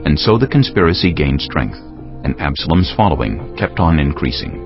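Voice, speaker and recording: A man narrating a dramatized scripture reading in a solemn, measured storytelling manner. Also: a bass voice, big pitch swings. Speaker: narrator of a dramatized audio Bible. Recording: dull lo-fi audio with background music.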